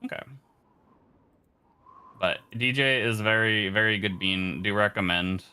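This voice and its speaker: A man's voice: on the low side, measured pace, sharp tone, smooth. A man chatting casually as a co-commentator on a gaming livestream. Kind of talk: casual chat. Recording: game music behind the voice. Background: video game music.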